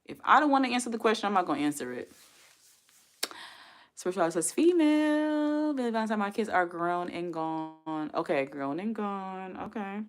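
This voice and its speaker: A woman's voice: medium pitch, lively intonation, normal speed. A woman in her forties hosting a casual livestream from home, reading viewer comments aloud and chatting back with frequent laughs. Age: 40s. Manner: chatty, talks straight to chat, laughs often. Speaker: livestream host.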